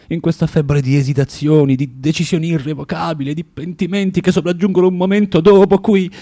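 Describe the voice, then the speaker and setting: An actor playing a bitter, tormented man of forty in a theatrical radio monologue, halting and repeating himself as he swings between muttering and outbursts. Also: medium pitch, dark tone, natural voice. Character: bitter, tormented forty-year-old underground man, spiteful and self-contradicting.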